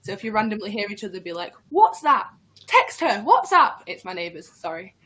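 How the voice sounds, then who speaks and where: A young woman student chatting on a video call in a jokey, animated way. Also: medium pitch, a little fast, breathy edge. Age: young adult. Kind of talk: casual panel talk. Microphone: computer mic on a video call.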